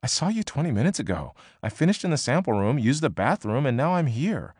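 A man's voice: medium pitch, sing-song, a little fast, a little mellow, husky, dry recording, studio quality, voice pushed up. A man voicing an unsure young woman new at her job, hesitant and questioning. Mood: flustered. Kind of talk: audiobook character voice.

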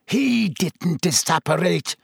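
A man voicing a furious, bitter male schoolmaster who roars and howls with a gravelly, raspy voice. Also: fairly high pitch, very animated, slow pace, a bit bright, no room sound, studio quality, voice pushed up, heavily acted. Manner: roaring, howling, seething.

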